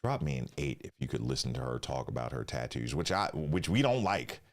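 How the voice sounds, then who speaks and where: A man's voice: very deep voice, animated, brisk. A man hosting a live stream, reacting with slangy, profane banter and reading tips aloud. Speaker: livestream host. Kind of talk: casual live-stream commentary.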